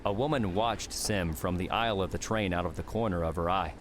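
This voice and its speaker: A man narrates an audiobook in a low voice. His delivery is scripted, measured storytelling, with noticeable ambient noise running behind it.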